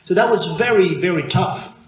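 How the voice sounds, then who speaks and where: A man's voice: sits high, expressive, normal speed. A man from Argentina, preaching a conversational sermon in a church, often restarting his sentences. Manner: conversational, with frequent restarts and fillers. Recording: echoey church room with faint background noise.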